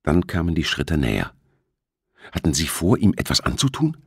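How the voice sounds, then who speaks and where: A man's voice: very deep voice, sing-song, moderate pace, vocal fry, husky, no echo, studio quality. A man reading a thriller as an audiobook narrator, in scripted narration.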